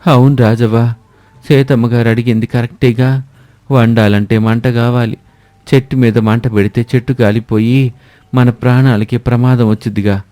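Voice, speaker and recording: A man's voice: medium pitch, flat delivery, moderate pace, warm tone, dry recording, faint music behind, lightly acted. A man voicing a cautious, easily worried village man in a moral-story cartoon, earnest and questioning.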